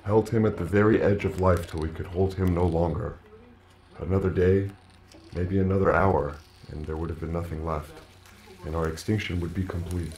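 Solemn low-voiced alien hive mind. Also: male voice, fairly even intonation, darkish tone, low-quality recording. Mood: grave, solemn. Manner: solemn, measured, speaks as "we". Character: ancient alien hive-mind plant colony speaking through a man, grave. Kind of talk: audio drama acting.

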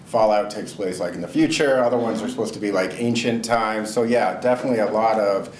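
A man's voice: lowish voice, a little fast, darkish tone, slight background noise. Middle-aged man fielding questions, echoey room. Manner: casual, thinking aloud with fillers. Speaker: presenter. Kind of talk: academic Q&A answer. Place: seminar room, indoors. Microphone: far camera.

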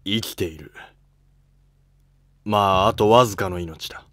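A man plays an elderly court statesman near death, low in pitch and very dry in sound. He is weary yet principled, speaking in grave, measured, formal lines from a historical drama. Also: fairly bright tone, no echo. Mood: weary, resigned. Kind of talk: amateur historical drama dialogue.

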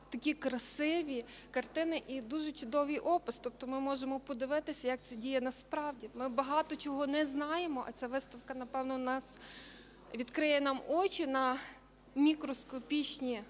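A woman's voice: sits high, steady tone, normal speed. A middle-aged woman, a teacher, speaking spontaneously in a news interview at an exhibition hall.

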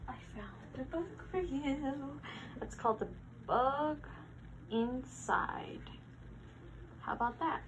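A woman plays a helpful new librarian in an ASMR roleplay, with a fairly high voice. She talks slowly and softly, hesitating as she goes. The recording has clear background noise.

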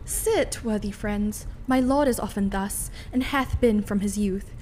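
A woman's voice: medium pitch, unhurried, darkish tone, a little hiss or hum, close to an everyday voice. A woman playing a commanding, scornful queen in a theatrical reading of a Shakespearean tragedy. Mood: urgent composure. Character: commanding, scornful queen covering for her husband.

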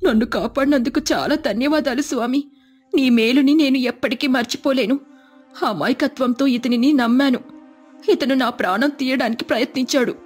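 A woman's voice: high voice, moderate pace, a little mellow, soft background music. A woman voicing an innocent, trusting, devout cow, speaking earnestly and expressively in an acted moral tale. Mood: grateful. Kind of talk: acted moral-story cartoon dialogue.

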